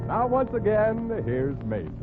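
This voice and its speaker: A male announcer with a soaring, sweeping delivery over loud music, and a slightly high voice. The whole thing sounds thin and tinny, like a vintage radio broadcast. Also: very animated, a little slow. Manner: smooth, declamatory. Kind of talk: formal announcing.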